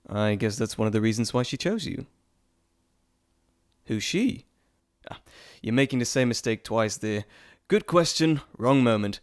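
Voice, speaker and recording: A playful trickster god , acted out by a man, speaks straight to the listener in an audio roleplay. He is chatty and theatrical, rambling and teasing, with a mid-pitched male voice recorded close and dry. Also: no room sound.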